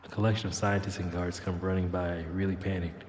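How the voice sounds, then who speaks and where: Man playing echoey, low-voiced wisecracking cyborg. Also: flat delivery, fairly quick, darkish tone, lightly acted. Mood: narrating, wary. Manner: rambling, flippant first-person narration. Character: wisecracking male cyborg with a robotic tail, dazed and flippant. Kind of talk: audio drama acting and narration. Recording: echoey, long reverb, noisy background.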